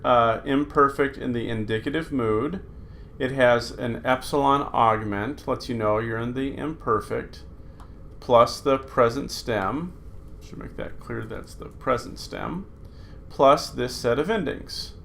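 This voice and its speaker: Slow, gravelly-voiced male Greek teacher. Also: mid-range voice, a bit bright, a little hiss or hum. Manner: unhurried, talking through slides with fillers. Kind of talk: recorded screen lesson.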